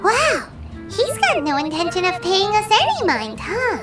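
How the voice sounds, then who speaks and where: A tiny fairy sidekick chatters in a piping, childlike game-character voice that swoops up and down. She is chirpy and talkative, with loud music playing around her. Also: extremely high pitch, huge pitch swings, a little slow, distant mic, full character voice. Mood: excited. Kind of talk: video game voice acting.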